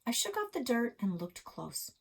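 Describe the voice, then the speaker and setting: A woman reading a children's story aloud with dramatic, expressive delivery, as a library reader. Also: a little high, normal speed, creaky, husky.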